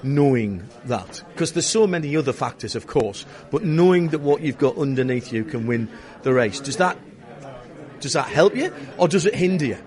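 A man's voice: mid-range voice, lively intonation, moderate pace, darkish tone. A man interviewing a guest in casual chat, engaged and jumping in with questions.

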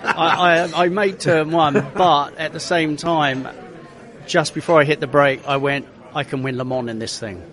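A man, a racing driver telling stories in an interview, often restarting and repeating words. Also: on the high side. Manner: halting, repeats words, lively anecdotes.

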